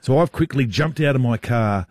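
A man's voice: animated, normal speed, a little mellow, no echo, clean studio sound. A man, a radio co-host telling a prank story and laughing through it. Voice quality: deep voice. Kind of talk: storytelling banter.

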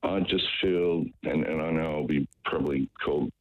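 A man phoning a radio show, giving his opinions in a hesitant, halting way. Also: rumbling low, fairly even intonation. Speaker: radio phone-in caller. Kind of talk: casual opinion talk. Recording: dull, band-limited phone call.

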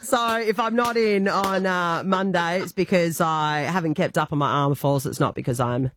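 A woman's voice: lowish voice, normal speed, a bit bright, a little hiss or hum. An Australian woman co-hosting breakfast radio, telling stories in casual banter and laughing often. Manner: chatty storyteller, laughs often. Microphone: studio mic.

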